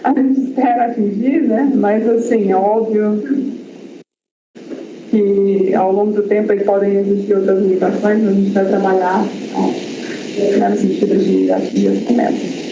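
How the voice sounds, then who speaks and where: A man's voice: sits high, little pitch movement, moderate pace, creaky. A researcher presenting his project at an academic seminar, talking in a hesitant way with many fillers and restarts. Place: university lecture room. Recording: echoey lecture hall, dull lo-fi sound, audible noise.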